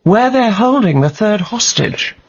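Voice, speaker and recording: An actress playing a shrewd, protective wife in a radio drama, probing and coaxing with quick wit. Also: female voice, mid-range voice, animated, lo-fi sound, noisy background. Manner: probing, soothing, quick-witted.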